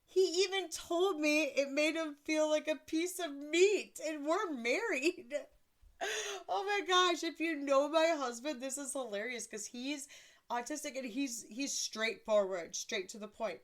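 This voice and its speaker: A woman in her thirties with a deeper voice, hosting a casual solo podcast in a blunt, crude, self-mocking way and laughing often. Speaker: podcast host.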